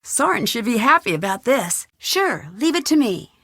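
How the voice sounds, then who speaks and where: A curious, plucky young woman detective from a video game, muses to herself as she works. Her voice sits fairly high and every word is clearly enunciated. The recording is close and dry, with no room sound. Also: big pitch swings, normal speed, fairly bright tone, no room sound, full character voice. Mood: curious, focused. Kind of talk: video game voice acting, thinking aloud.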